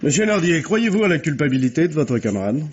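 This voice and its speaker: An actor playing a formal, sceptical examining magistrate in a radio mystery, questioning with authority. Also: male voice, fairly high pitch, sing-song, fast, slightly rough. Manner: formal, interrogating, clipped. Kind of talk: French radio mystery drama acting. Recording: dull, muffled old radio recording.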